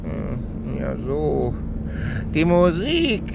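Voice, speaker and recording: Dreamy companion: extremely drawn-out, musing delivery, radio play, heavy outdoor noise. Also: male voice, a little high, big pitch swings, vocal fry, full character voice. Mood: absent, musing. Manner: drawling, musing. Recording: very noisy outdoor ambience.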